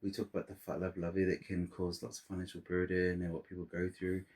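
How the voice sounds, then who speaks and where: A middle-aged man from Samoa, speaking. His voice sits very low and sounds husky. He talks as a podcast guest, winding through his thoughts with restarts and fillers. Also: completely flat delivery, fast. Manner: rambling, restarts and fillers, warm laughter-prone storytelling. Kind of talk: casual interview chat.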